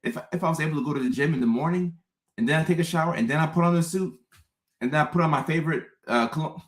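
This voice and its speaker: A man in his thirties from Ghana, hosting a relaxed livestream and chatting with viewers, often repeating his phrases. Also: a little high, rapid-fire. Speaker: host. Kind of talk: casual livestream chat.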